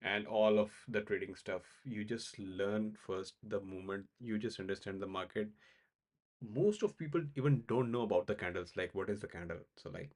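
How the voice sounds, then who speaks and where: Man from Pakistan, low voice, halting casual livestream talk full of "uh" and repeats. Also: a little mellow. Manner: halting, many fillers and repeated words. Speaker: crypto trading livestream host. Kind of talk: casual live-stream chat answering viewers.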